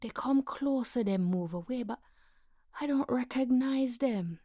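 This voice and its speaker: A woman playing a frightened, confused, worn-down mother in a dramatic monologue, hushed and halting. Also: fairly high pitch, no room sound, clean studio sound. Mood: wistful, confused. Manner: halting, hesitant, repeating herself, wandering.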